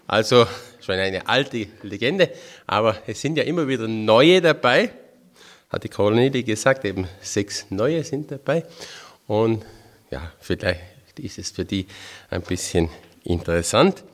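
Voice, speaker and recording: A man's voice: on the low side, lively intonation, unhurried. A man in his fifties from Tyrol with a Tyrolean accent, a religious brother giving a chatty, homely spiritual talk to a group. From Tyrol, Austria. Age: 50s. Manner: homely, chatty, self-deprecating with dialect phrases.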